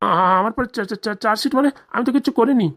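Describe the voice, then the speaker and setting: Someone voicing a flustered, fast-talking village doctor. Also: medium pitch, fairly bright tone, natural voice. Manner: stammering, pleading, grumbling. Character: nervous, evasive small-time village clinic doctor, male, scheming. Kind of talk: comedy cartoon dialogue.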